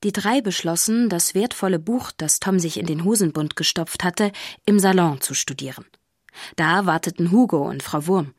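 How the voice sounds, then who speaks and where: A woman's voice: lowish voice, moderate pace, no room sound, clean studio sound. A woman narrating a children's audio drama as a scripted storyteller.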